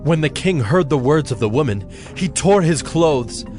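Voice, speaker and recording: A man narrating a dramatized scripture reading in a measured, solemn manner. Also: a little high, lively intonation. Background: soft background music.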